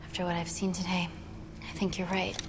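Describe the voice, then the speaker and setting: A woman's voice: on the low side, completely flat delivery, fairly quick, natural voice. A woman playing a determined agent in a live-action thriller game. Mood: guarded, earnest. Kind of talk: film-style dramatic acting in a game. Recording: clearly noisy background. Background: ambient noise.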